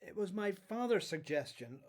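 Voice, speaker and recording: Man acting earnest young seeker, lively delivery. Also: high-pitched, normal speed. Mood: earnest, respectful. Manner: questioning, earnest. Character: young John the Baptist, earnest, searching, polite newcomer. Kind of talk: religious radio drama acting.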